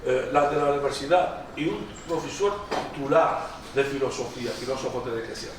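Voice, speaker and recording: A man's voice: mid-range voice, normal speed. A middle-aged man from Iraq, whose native tongue is Aramaic, lectures in a church with an Iraqi Arabic accent. He speaks emphatically, repeats phrases, and often slips and restarts words. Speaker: guest lecturer. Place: large echoey church hall. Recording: echoey hall audio from a distance with noise.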